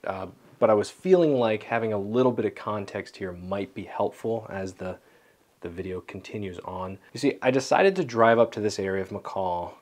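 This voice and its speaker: A man's voice: lowish voice, normal speed, a little mellow. A man talks casually to camera as a vlogger, rambling and a little self-deprecating. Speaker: vlogger, landscape photographer. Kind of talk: casual vlog talk to camera. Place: small home studio room.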